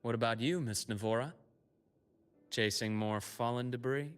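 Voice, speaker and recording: A man's voice: medium pitch, moderate pace, smooth, lightly acted. A man playing a kindly, easygoing middle-aged academy doctor in a video game. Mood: casual, teasing.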